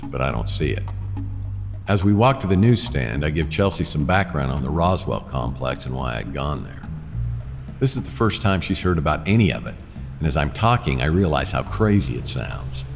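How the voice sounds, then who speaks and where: An American actor playing a wry, world-weary private detective in a noir radio drama, talking and narrating with dry, sardonic delivery. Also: male voice, rumbling low, expressive, moderate pace, gravelly, music in the background, heavily acted. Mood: wry narration.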